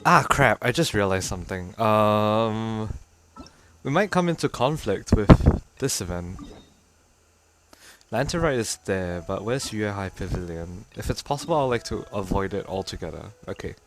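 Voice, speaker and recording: A man's voice: lowish voice, expressive, normal speed, a bit bright, slight background noise. A man streaming a game playthrough, casually commentating with wry asides and reading on-screen text aloud. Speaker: streamer playing through a game.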